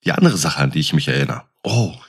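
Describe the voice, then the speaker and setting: A man casually telling personal anecdotes in an animated storytelling manner. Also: rumbling low, quick pace, gravelly, noisy background.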